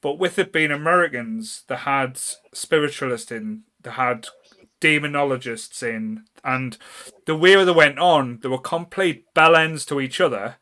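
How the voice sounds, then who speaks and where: A man's voice: medium pitch, a little slow, bright, forceful tone. A man with a North East English accent chatting casually as a podcast host, blunt, sweary and jokey.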